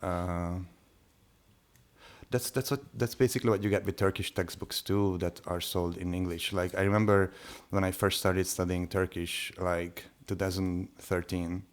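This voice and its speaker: A man whose first language is Hungarian, talking casually on a podcast with many pauses and restarts. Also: low voice, little pitch movement. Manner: hesitant, many restarts and fillers. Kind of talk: casual conversation.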